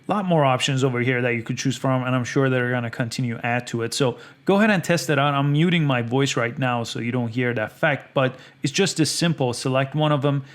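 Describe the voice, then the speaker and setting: Man giving a casual step-by-step tutorial. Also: mid-range voice. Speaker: tutorial host. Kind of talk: casual step-by-step how-to narration.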